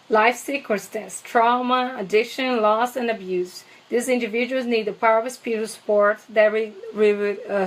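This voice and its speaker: A woman's voice: on the high side, rough recording. A woman with a heavy Brazilian accent, chatting casually and eagerly about products in a vlog, with many fillers. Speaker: essential oils seller.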